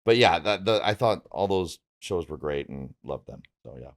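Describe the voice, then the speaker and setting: A man with a low voice talks casually, as a voice actor in conversation. He is a little hesitant, feeling his way through each thought. The recording is dry and free of echo. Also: lively intonation, moderate pace. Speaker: voice actor in a discussion. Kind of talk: casual chat about favorite comics and films.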